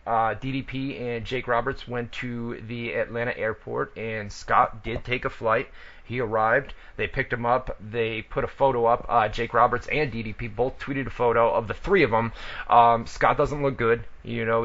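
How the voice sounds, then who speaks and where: A man's voice: mid-range voice, fairly even intonation, unhurried, fairly bright tone, lo-fi sound. A man hosting a casual radio show, talking loosely with profanity and stumbling restarts. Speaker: wrestling radio show host.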